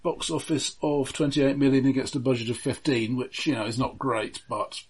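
A man's voice: medium pitch, normal speed, a little mellow, slightly rough. A man co-hosting a film podcast, chatting casually in a hesitant, pedantic, detail-minded way. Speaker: film discussion podcast co-host.

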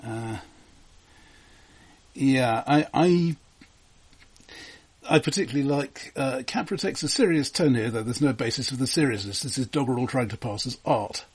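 A man discusses films as a podcast host in a husky, mid-pitched voice. He speaks unscripted, stammering and restarting as he works through his points. His manner is wry and critical. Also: normal speed, long pauses.

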